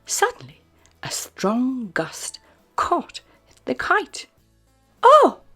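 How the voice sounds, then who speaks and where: A woman reading a picture book aloud to children, expressively and with breathy, deliberate emphasis. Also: fairly low pitch, big pitch swings. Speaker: children's storyteller. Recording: music behind the voice.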